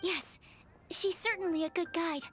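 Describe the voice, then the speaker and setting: A studious young female researcher from an anime game. The voice is very high and girlish, with careful, polite, earnest phrasing, set over a music track. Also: fairly even intonation, measured pace. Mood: thoughtful. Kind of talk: anime video game voice acting. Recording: background music.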